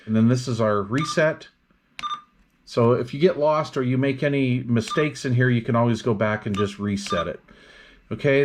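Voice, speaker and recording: A man's voice: medium pitch, a little hiss or hum. A man reviewing radio equipment in a casual, hands-on demonstration, explaining things step by step without hurry.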